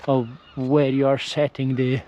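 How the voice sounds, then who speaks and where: A man's voice: medium pitch, level tone, unhurried, mellow, lo-fi sound, slight background noise. A man with an Italian accent, a non-native English speaker, vlogging casually while walking outdoors, often restarting and correcting himself. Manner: hesitant, frequent restarts and self-corrections. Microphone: action camera with windscreen.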